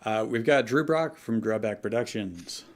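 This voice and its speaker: A man's voice: on the low side, lively intonation, moderate pace. A man hosting a casual comedy reading show, introducing and bantering with a group. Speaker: host of a comedy fan fiction reading show.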